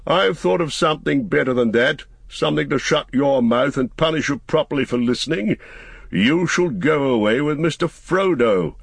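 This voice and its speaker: A man voicing a wise old male wizard in a storybook, stern but fond, with an emphatic, commanding, deliberate manner. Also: a little high, animated, creaky, husky, dry recording, pitched above the performer's own voice, full character voice. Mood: amused command.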